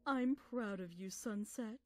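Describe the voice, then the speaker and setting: A woman playing a motherly, gracious pony princess and mentor, speaking formally and gently in an acted fan audio drama. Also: medium pitch, natural voice. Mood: motherly, proud. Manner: formal, gentle, measured speech.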